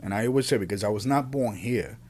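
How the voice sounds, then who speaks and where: Earnest New man, halting podcast monologue. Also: lowish voice, a little mellow. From Puerto Rico, raised in the Bronx, New York City. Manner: earnest, halting, repeats words and phrases. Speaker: podcast host. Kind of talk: unscripted opinion monologue on a podcast.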